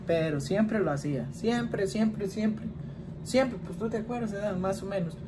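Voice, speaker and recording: A man's voice: high-pitched, unhurried, noisy background. A man in his twenties with a Mexican Spanish accent, chatting casually in a home vlog and answering questions in a rambling way, often tagging lines with "¿verdad?". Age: 20s. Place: small indoor room, plain wall.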